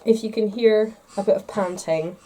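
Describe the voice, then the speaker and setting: A woman's voice: medium pitch, normal speed, noisy background. A woman vlogger talking casually to the camera in a chatty, rambling, self-deprecating way, a little congested with a stuffed-up nose. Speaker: makeup vlogger.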